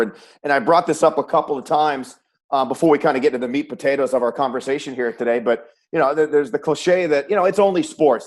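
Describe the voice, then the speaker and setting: Man, somewhat high voice, sports announcer, interview host, conversational. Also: darkish tone.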